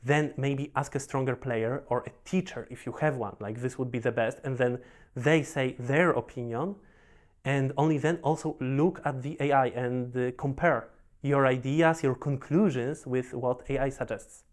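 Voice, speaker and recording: Polish-accented young man, halting speech. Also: medium pitch, steady tone. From Poland. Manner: halting, repeats words, frequent fillers. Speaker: professional Go player. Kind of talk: relaxed personal storytelling.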